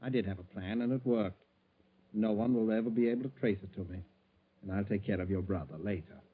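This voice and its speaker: An actor playing a nervous, scheming middle-aged embezzler who hesitates and stammers, in 1940s radio style. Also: male voice, lowish voice, a little fast, husky. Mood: coolly scheming. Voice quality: hesitant, stammering under pressure. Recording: tinny, band-limited 1940s radio recording.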